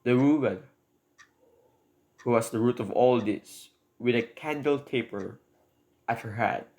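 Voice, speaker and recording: A man's voice: mid-range voice, measured pace, a little mellow, natural voice. A man playing a desperate, penniless burglar in a radio drama, telling his story in formal, old-fashioned, pleading speech. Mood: confiding. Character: desperate, penniless burglar confessing his crime, polite but wary. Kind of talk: dramatic first-person storytelling in a radio play.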